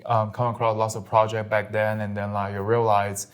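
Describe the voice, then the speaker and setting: A young man from Singapore, a guest on an interview podcast, talking in a quick, upbeat way with lots of "like". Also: fairly low pitch, little pitch movement, smooth. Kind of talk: casual interview.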